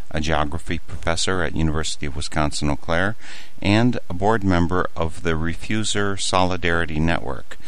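Very deep-voiced male show host. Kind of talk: interview.

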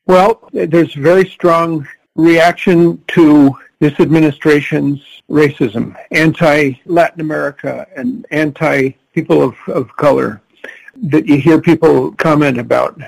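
A man with a gravelly, somewhat high voice answers interview questions in a measured, earnest way. He grew up in Costa Rica and speaks as a guest musician. The sound is close and dry, with no room around him. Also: steady tone, no room sound, poor audio.

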